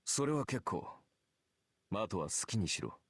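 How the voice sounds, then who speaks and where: A man's voice: fairly low pitch, big pitch swings, fairly bright tone, husky, dry recording, studio quality, exaggerated voice. A man acting a cynical, confident warrior archer in a visual novel, with cool, sardonic delivery. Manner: cool, sardonic, deliberate phrasing. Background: game music.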